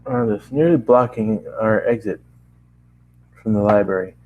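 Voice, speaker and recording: A man's voice: fairly low pitch, moderate pace, phone-quality sound. A man narrating a first-person fan fiction story aloud, often stumbling and repeating phrases as he reads.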